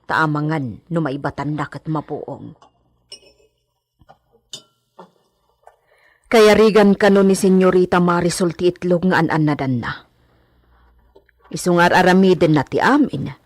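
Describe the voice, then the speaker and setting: A radio drama housemaid played by a woman, frank and fussy, with a deep female voice that is husky at the edges. She talks bluntly and gossips like a bossy big sister, recorded close and dry. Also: moderate pace, a little mellow, no echo, lightly acted. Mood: gossipy, confiding. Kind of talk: Ilocano radio drama acting.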